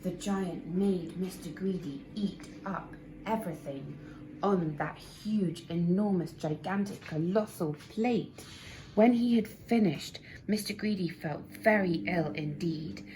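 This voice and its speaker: A woman narrating a children's story expressively, with deliberate, dramatic emphasis. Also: on the low side. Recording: noisy amateur recording, clear background noise.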